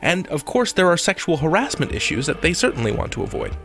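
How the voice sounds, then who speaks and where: Playful male podcast host with very lively intonation. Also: fairly high pitch, fast, gravelly. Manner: playful, theatrical, wry. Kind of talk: scripted, conversational podcast narration. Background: music.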